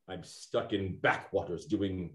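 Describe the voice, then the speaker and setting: An actor playing a slippery, grandiose gentleman crook and failed film director in a 1920s comedy radio play. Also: deep voice, fairly even intonation, takes it slowly. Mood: indignant, aggrieved. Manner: grandiose, theatrical. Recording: roomy, echoing sound.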